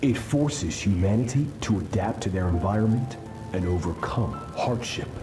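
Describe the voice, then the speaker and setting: Menacing game villain, deep grave voice, really slow, breaks into whispers, echoey with music under. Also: male voice. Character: ominous, powerful male sinner villain preaching fear. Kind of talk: scripted video game villain monologue.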